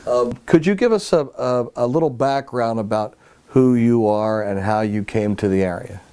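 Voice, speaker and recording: A man hosting an oral history interview in a guest's home, introducing the guest and asking measured questions. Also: medium pitch, warm tone, clear, resonant tone. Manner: measured questions, some restarts.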